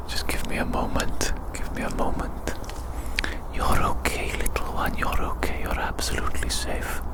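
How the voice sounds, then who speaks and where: ASMR creator whispering softly. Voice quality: breathy, unvoiced whisper. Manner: slow, soothing, repeats phrases softly. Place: outdoors in winter woods and hillside field.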